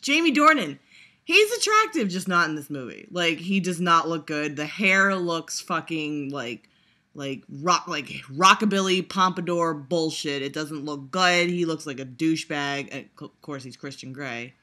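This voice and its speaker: A woman's voice: low voice, lively intonation, a little slow, sharp tone. A young woman vlogger giving a sarcastic, profane reaction, rambling with lots of laughs and fillers. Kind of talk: casual reaction commentary.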